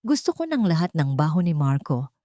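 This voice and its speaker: A woman voicing a determined wealthy man who speaks with steady authority. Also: deep voice, a little fast, no echo, clean studio sound. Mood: commanding.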